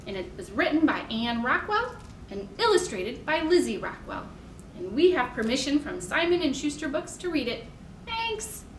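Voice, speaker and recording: A woman leading a toddler storytime in simple short phrases, with an animated, sing-song, encouraging manner. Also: a little high, slight background noise. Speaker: children's librarian leading storytime. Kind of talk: toddler storytime, singing-along instruction and read-aloud. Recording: echoey room.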